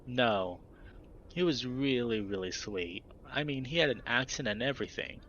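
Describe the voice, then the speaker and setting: A man voicing a dopey young woman who talks in a babyish, cooing whine with a slight rasp. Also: extremely low pitch, lively intonation, moderate pace, fairly bright tone, dull audio, a little hiss or hum. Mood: whiny, dopey.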